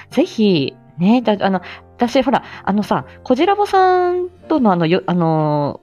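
A woman's voice: a little high, dark tone. A middle-aged woman hosting a casual livestream from home, chatty, playful and self-deprecating in manner. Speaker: livestream host.

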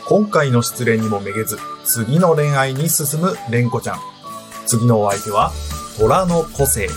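Rich: A male announcer reading ad and trailer copy in a dramatic voice. His pitch is mid-range, and music plays clearly under him. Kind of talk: ad narration.

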